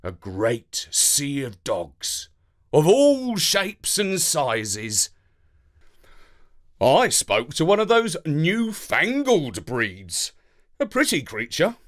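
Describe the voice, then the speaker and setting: A man with a British accent narrating a children's story in a theatrical, deliberate, expressive way. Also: fairly high pitch.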